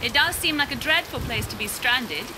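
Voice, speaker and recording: A woman's voice: sits high, animated, moderate pace. Prim British witty woman scientist, music behind. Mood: cool, brisk. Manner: crisp, formal, dry and arch. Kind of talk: scripted video game dialogue. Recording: game audio with loud music behind.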